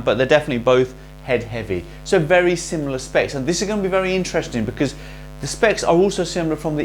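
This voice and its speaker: Chatty male racket reviewer, voice going. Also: a little high. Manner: chatty and salesy, with quick asides. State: losing his voice. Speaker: racket reviewer and shop host. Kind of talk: casual product review. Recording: faint mains hum.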